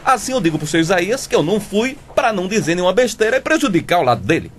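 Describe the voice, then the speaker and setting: An actor playing a stubborn, cowardly, dim Brazilian bricklayer husband in a comic radio soap, obstinately repeating himself. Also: male voice, sits high, very animated, rapid-fire, a little hiss or hum. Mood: stubborn, self-justifying.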